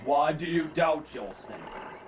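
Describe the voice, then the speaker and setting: A man's voice: a little high, lively intonation, slow, noisy background. A man playing the solemn, accusing ghost of a dead business partner in a student radio drama, speaking in a declamatory way. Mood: solemn, stern. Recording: dull, distant-miked radio play recording.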